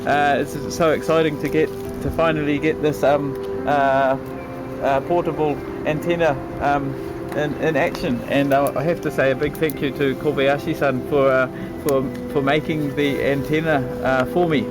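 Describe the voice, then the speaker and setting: A man chatting enthusiastically and a little haltingly as a ham radio operator, speaking out on a balcony. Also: a little high, level tone, over music. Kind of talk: casual radio chat. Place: outdoors on a cold balcony.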